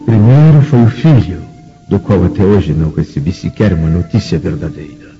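A proud Roman senator sunk in anguish. His low, very dark voice moves slowly through a solemn radio drama lament, with faint music behind it. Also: highs cut off. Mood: grieving, despairing.

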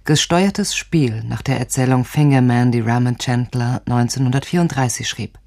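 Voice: mid-range voice, normal speed, creaky, a little mellow. An announcer reading a scripted introduction to a radio drama.

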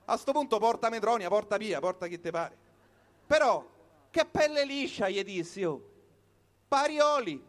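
Roman guy doing a comedy monologue, really high voice, punchy short lines, slangy. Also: sing-song, moderate pace. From Rome, Italy. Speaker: comic performer reciting his own piece. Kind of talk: live comic storytelling monologue built on wordplay.

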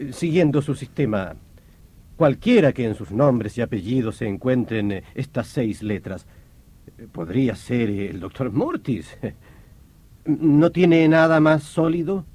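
An actor playing a sceptical, world-weary French police inspector in his thirties in an old radio drama, wry and measured. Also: medium pitch, animated, darkish tone. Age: 30s.

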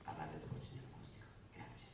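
A man's voice: fast, close to an everyday voice. A man voicing a clipped, formulaic answering machine announcement for a law office. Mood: formulaic, brisk. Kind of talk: recorded answering machine message. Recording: very echoey, distant, faintly noisy playback.

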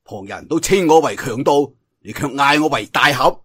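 A man's voice: medium pitch, animated, normal speed, fairly bright tone, breathy edge. A man voicing a wily, easily flustered swordsman outlaw with ingratiating bluster, in a Cantonese martial arts audiobook. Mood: amused, self-deprecating.

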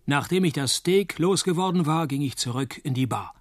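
An actor playing a hard-boiled, laconic private detective, delivering deadpan noir radio play narration and dry wit. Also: male voice, fairly high pitch, moderate pace, no echo. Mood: dry, wry. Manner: deadpan, wry.

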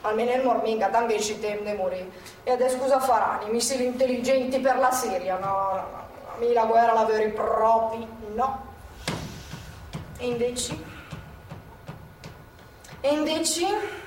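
An actress playing an elderly former partisan from Milan who is short of breath and speaks with a Milanese dialect accent in an earthy, blunt way. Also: female voice, a little high, moderate pace, pauses often, darkish tone, far from the mic, slight background noise, close to an everyday voice. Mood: defiant. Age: older. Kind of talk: stage monologue. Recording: echoey stage from afar.

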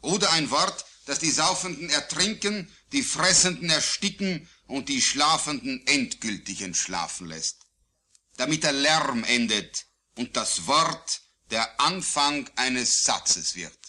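A man reciting his own poetry solemnly, in a declamatory, deliberate way with phrases falling at the line endings. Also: mid-range voice, lively intonation, bright, forceful tone. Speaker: poet. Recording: digitized vintage vinyl record.

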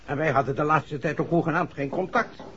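An actor in a 1960s Dutch radio play playing a steady, practical police inspector who takes charge calmly. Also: male voice, fairly high pitch, a little fast, lightly acted. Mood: puzzled, pondering. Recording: dull, noisy old radio recording.

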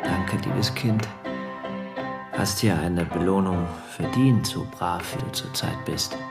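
A man playing an indulgent, relaxed middle-aged father in a German radio drama. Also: sits low, slow. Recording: loud music over the voice.

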